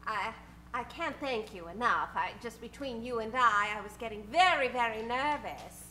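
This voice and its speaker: A woman's voice: fairly high pitch, expressive, moderate pace, bright, forceful tone, rough recording. A woman playing an anxious, gushing housewife in an old-time radio melodrama, theatrical and fretful. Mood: gushing, nervous.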